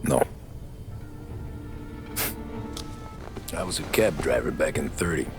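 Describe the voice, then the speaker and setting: A man's voice: rumbling low, fast talker. A working-class American cabbie in a 1930s gangster game, pulled reluctantly into the underworld. He talks plainly, like an ordinary driver, over a music bed. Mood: weary, reflective. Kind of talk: cinematic game acting, 1930s American crime drama.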